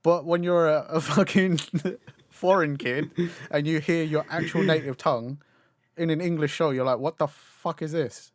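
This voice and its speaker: A man's voice: fairly high pitch, fairly even intonation, moderate pace, no room sound. Young male co-host, Cantonese native; loose, rambling chat with casual swearing. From Cantonese-speaking Chinese family. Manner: rambling, swears casually, laughs mid-sentence. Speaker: podcast co-host.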